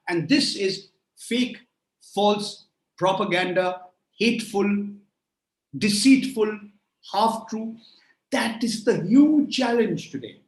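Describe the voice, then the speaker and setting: A man's voice: high voice, echoey room, low-quality recording. A man in his sixties answering audience questions in a lecture, emphatic and rhetorical, piling up phrases in lists. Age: 60s. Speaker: guest speaker. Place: remote call from a room.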